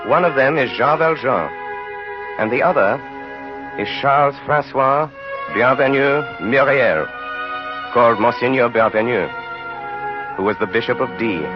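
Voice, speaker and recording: A man narrating a 1930s radio drama in a grave, measured storytelling manner. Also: mid-range voice, natural voice. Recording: muffled old radio recording.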